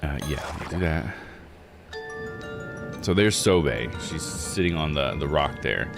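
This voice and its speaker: Low-voiced man streaming a game. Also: expressive, slow pace, gravelly, music in the background. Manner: thinks aloud, informal slang. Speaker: livestream host playing a video game. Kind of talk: casual gaming stream commentary.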